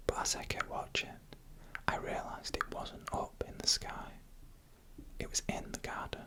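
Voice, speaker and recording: A narrator tells eerie first-person stories in a breathy, unvoiced ASMR whisper, with slow and deliberate suspense. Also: bright, forceful tone. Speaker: ASMR storyteller.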